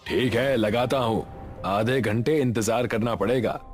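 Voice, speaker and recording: A man's voice: medium pitch, measured pace, soft background music, lightly acted. A man playing a surly, scheming village eatery owner in a storybook tale. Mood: irritated.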